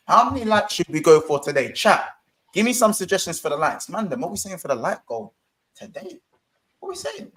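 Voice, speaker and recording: A man hosting a casual football chat show, energetic and banterous, calling out to his live chat. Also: a little high. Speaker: host. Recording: echoey room with faint noise.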